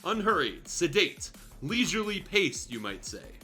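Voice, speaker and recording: A frank, skeptical man in a story, read aloud by a man. He needles dryly in a bright, higher-pitched voice. Faint music plays behind. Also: very animated, measured pace, heavily acted. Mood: dryly teasing. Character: frank, skeptical man teasing his smug host.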